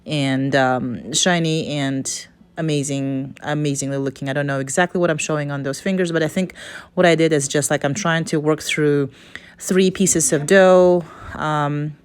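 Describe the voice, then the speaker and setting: A woman's voice: sits low, fairly even intonation, normal speed, smooth. A woman from Kazakhstan with a Kazakh accent gives a casual, hesitant, unscripted cooking voice-over, often stopping and restarting. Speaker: home cook presenting a recipe.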